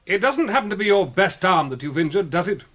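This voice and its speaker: Posh-formal british questioner in a radio mystery, polite but probing, voice a bit high. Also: male voice, sing-song, fast, fairly bright tone, heavily acted. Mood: interrogating. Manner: clipped, formal. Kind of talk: radio drama acting.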